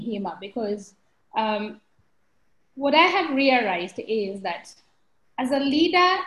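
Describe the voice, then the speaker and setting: A woman from Africa, a guest, answering thoughtfully in a measured, earnest way. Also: a little high. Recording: echoey room sound.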